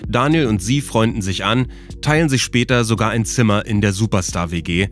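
A man's voice: lowish voice, normal speed, faint music behind. A man hosting and narrating a documentary podcast from a script.

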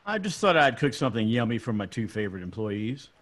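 A man's voice: mid-range voice, big pitch swings, fast talker, a bit bright. A man reading the part of a stingy restaurant boss at a table read, falsely friendly. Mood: smug.

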